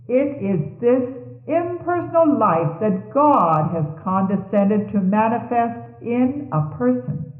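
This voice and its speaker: Slow, high-voiced woman reading sermons, thin echoey tape. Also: expressive. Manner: measured, deliberate, formal. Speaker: religious reader. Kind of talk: solemn scripture-like reading aloud of sermon messages. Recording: 1970s tape, thin phone-like band, echoey room.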